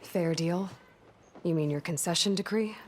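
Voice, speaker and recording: An actress playing a young, wry warrior heroine in a video game, speaking in a dry, sardonic, direct way. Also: female voice, on the low side, moderate pace, slight background noise, natural voice. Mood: sardonic, sceptical. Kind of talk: video game character acting.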